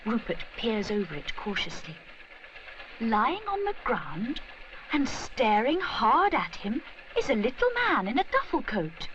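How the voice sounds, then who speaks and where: A woman storyteller narrates for children in a measured, expressive way. Her voice is high and swings widely in pitch, and she takes her time over the lines. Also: muffled recording, a little hiss or hum.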